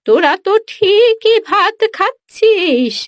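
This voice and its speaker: A woman acts out an old village mother in a cartoon tale. The voice is extremely high and drags slowly through plaintive, scolding, pleading speech. Music plays behind it. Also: sing-song, no room sound, studio quality, heavily acted. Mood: aggrieved, scolding. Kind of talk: dramatised cartoon story dialogue.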